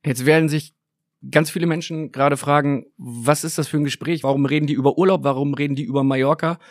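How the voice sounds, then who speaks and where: A man hosting a podcast, upbeat and quick, introducing an episode and interviewing a guest. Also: on the high side, steady tone, no echo, clean studio sound. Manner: upbeat, quick-talking host. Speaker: podcast host. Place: small press room in a stadium.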